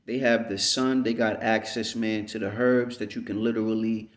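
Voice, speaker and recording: A man from the United States giving an unhurried, rambling reflective monologue as a vlogger, often checking in with "you know what I mean.". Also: lowish voice, flat delivery. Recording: roomy echo.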